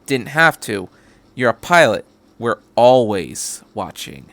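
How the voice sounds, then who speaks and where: A man's voice: medium pitch, sing-song, takes it slowly, creaky, a bit bright, dry recording, heavily acted. Man reading as a curt female security agent. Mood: disdainful. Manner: cool, disdainful, resigned.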